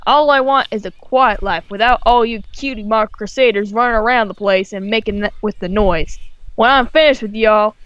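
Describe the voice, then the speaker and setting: A big male farm pony in a storybook, as a woman acts him out, placid and folksy. The voice is smooth and close, with quiet menace under the country talk. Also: on the high side, normal speed, no room sound, highs cut off, natural voice. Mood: calmly menacing.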